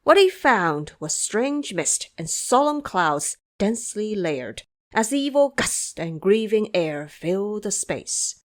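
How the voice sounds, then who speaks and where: A woman narrating an audio drama as a scripted story, with expressive, dramatic delivery. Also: medium pitch, takes it slowly.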